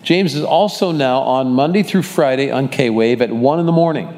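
A male pastor walks his congregation through scripture in a loose, rambling way, stopping often to correct himself. His voice sits at an everyday pitch for a man. It rings out with a long reverb, as it would in a church sanctuary. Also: normal speed. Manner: warm, rambling, self-correcting delivery. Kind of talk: casual Bible study teaching.

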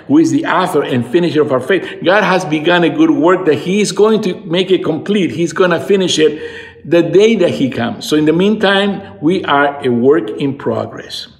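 Earnest man in his sixties, echoey room. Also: a little high. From Peru. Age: 60s. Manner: earnest, fervent, rising to emphatic peaks. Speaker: pastor. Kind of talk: devotional sermon.

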